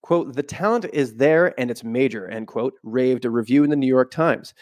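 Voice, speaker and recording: A man's voice: mid-range voice, normal speed, darkish tone. A man hosting a podcast with a stuffy voice from a cold, reading from a book at home and breaking off into casual side remarks. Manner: reads aloud, then breaks off into loose asides. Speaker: podcast host. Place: home room, not a studio.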